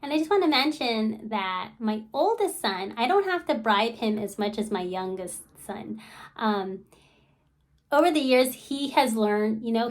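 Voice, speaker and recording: A woman hosting her own channel, talking casually to camera in a chatty way, with lots of ums and you-knows. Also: on the high side. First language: English. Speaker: channel host.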